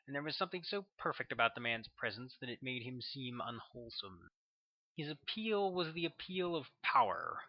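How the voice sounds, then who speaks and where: A man reading his own novel aloud as an audiobook, in measured, even narration. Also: medium pitch, dull audio.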